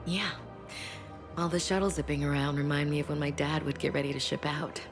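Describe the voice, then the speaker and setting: A woman's voice: low-pitched, level tone, a little fast. An actress playing a tough, wry female soldier in a video game, talking informally to her commander. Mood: wistful, fond. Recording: background music.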